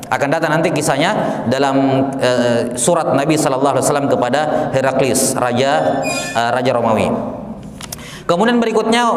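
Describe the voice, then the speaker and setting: Teacher in an echoey hall. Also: male voice, a little high. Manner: explains patiently with fillers and repeats, mixes in Arabic phrases. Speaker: Islamic studies teacher. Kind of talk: religious lesson on a classical text. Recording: echoey hall with faint background noise.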